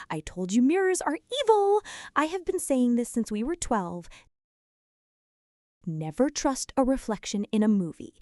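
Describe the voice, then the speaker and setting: A woman's voice: a little high, a little mellow, dry recording, clean studio sound. A woman acting a jumpy, flirty, dramatic friend in an ASMR roleplay, talking to the listener in a teasing, mock-indignant way.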